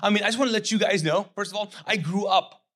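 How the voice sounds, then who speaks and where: A man's voice: high-pitched, fast talker, fairly bright tone. A middle-aged man, raised in Kuwait with English not his first language, preaching a sermon in a conversational way, acting out the voices of imagined people and asking the crowd to fill in his words. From Pakistani family, raised in Kuwait. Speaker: pastor.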